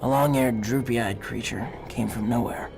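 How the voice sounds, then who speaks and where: A gravelly, creaky-voiced army captain played by a man, brooding and cynical, narrates his mission in a grave, hard-boiled radio drama style. Music plays clearly behind him. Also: medium pitch, normal speed, lightly acted. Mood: grim, detached. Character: brooding, war-weary male army captain, haunted and cynical. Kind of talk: scripted first-person radio drama narration.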